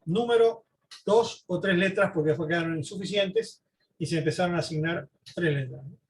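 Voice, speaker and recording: A man giving an informal slide lecture, halting, repeating words and often adding short questioning tags. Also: high voice. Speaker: presenter giving a history talk.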